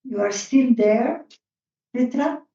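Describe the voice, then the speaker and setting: A woman's voice: a little high, lively intonation, takes it slowly, dark tone. A woman moderating an open symposium discussion, speaking informally to the participants. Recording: very echoey, distant, slightly dull microphone.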